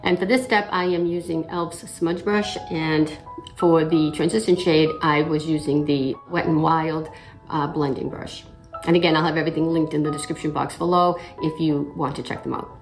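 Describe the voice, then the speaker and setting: Middle-aged lady w a bit of a cold, low gravelly voice, chatty makeup how-to, clears her throat a lot. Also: normal speed, faint music behind. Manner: relaxed step-by-step explaining with frequent "uh" and throat clearing. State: slight cold, a little raspy. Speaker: makeup vlogger. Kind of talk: casual makeup tutorial narration.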